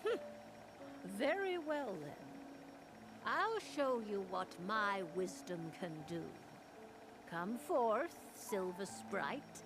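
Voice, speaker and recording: Imperious witch fortune teller, slow grand dramatic game voice w music behind. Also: medium pitch, big pitch swings. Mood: grand, mysterious. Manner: imperious, grandiose, archaic phrasing. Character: haughty, theatrical female witch and seer. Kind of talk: dramatic anime game voice acting.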